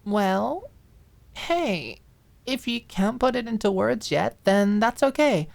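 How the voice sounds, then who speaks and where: A woman's voice: mid-range voice, expressive, slow pace, gravelly, a little mellow, pitched above the performer's own voice. A woman voicing a bubbly, caring young woman in an audiobook drama, with casual, playful slang. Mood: gentle, reassuring. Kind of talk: dramatic audiobook character acting.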